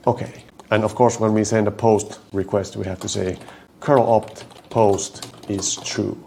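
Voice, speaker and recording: A man from Finland with a Finnish accent, talking through his code as he types, slowing down to spell out each command. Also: lowish voice, steady tone, gravelly, dark tone, slight background noise. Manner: thinks aloud while typing, slows to spell out code. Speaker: coding tutorial host. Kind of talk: live coding walkthrough.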